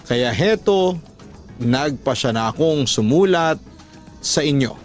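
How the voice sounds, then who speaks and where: A man with a Filipino accent narrating a scripted story as a radio drama host, in an emotive, deliberate storytelling delivery. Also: medium pitch, expressive. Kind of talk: scripted dramatic narration of letter-sender stories. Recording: voice over background music. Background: music.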